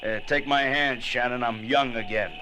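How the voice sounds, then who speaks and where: A man's voice: medium pitch, steady tone, moderate pace, close to an everyday voice. A man playing a gloating, menacing gangster killer in an old radio drama, taunting his captives with sardonic, florid slang. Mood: smug. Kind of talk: 1940s radio drama acting. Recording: old radio recording with faint noise.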